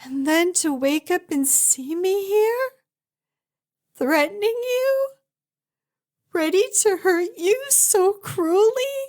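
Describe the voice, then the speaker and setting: Fantasy huntress, played by a woman: high voice, slow delivery, tender and earnest, intimate ASMR roleplay. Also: expressive, darkish tone, heavily acted. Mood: anguished, remorseful. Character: fierce but tender young huntress reunited with her lost friend.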